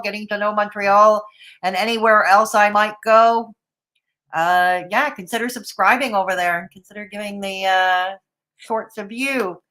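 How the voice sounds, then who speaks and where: A woman's voice: mid-range voice, pushed and bright, low-quality recording. A woman streamer giving sarcastic, mocking commentary on a live stream.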